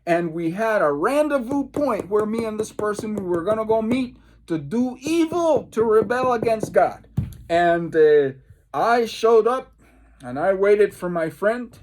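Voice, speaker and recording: A man from the Caribbean, a pastor leading a devotional Bible study, tells personal stories emphatically, repeating phrases and pausing for effect. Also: high-pitched, animated, darkish tone, slight background noise.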